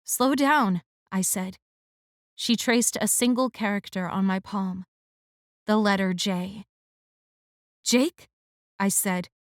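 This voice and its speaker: A woman reading a first-person romance audiobook in a measured, expressive way. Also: mid-range voice, no room sound, studio quality. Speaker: audiobook narrator.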